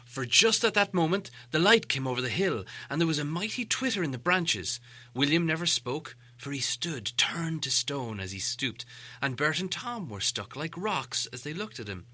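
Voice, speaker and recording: A man narrating a storybook as an audiobook reader, in an expressive, dramatic and theatrical scripted style. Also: fairly high pitch, moderate pace.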